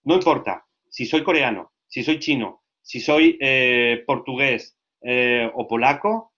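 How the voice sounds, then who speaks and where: A man giving a lecture to language teachers, lively and often checking that listeners follow. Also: mid-range voice, slow, highs cut off. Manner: lively, asks frequent check questions.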